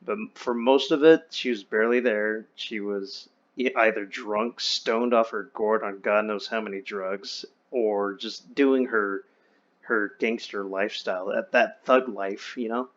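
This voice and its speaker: A man's voice: on the low side, steady tone, measured pace, a bit bright. A young man casually telling personal stories in a candid, wry, slangy and profane way over an online voice chat. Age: young adult. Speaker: friend telling his life story. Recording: dull lo-fi voice chat.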